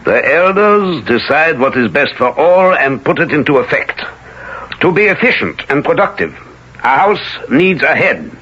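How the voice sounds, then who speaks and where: Cold authoritarian village elder, lively intonation. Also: male voice, fairly high pitch, husky, full character voice. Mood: cold, instructive. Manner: measured, didactic, controlling. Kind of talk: 1970s radio drama acting. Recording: slightly muffled vintage radio recording.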